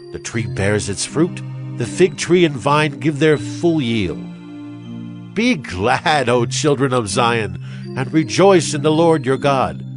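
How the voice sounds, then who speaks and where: A man giving a solemn, declamatory narration of scripture in a dramatized style. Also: mid-range voice. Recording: music under the voice. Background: music.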